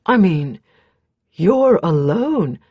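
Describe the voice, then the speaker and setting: A woman voicing a gruff, practical male mercenary in an audiobook, with a blunt, skeptical manner. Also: lowish voice, sing-song, extremely slow, no room sound, muffled recording, voice pushed up, exaggerated voice. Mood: surprised, doubtful. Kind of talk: audiobook character dialogue.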